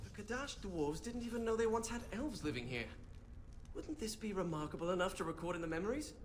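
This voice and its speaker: Fantasy game role: curious young male elf scholar-mage, lively and expressive, noisy ambience behind. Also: high-pitched, fairly quick. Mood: fascinated. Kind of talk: video game voice acting.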